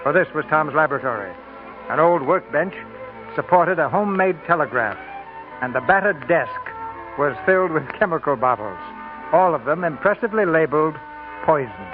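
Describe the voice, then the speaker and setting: A thin old radio broadcast with some music carries an American man as host and narrator. His voice sits somewhat high, and his scripted delivery is formal and measured. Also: lively intonation, phone-quality sound. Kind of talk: scripted 1940s radio presentation.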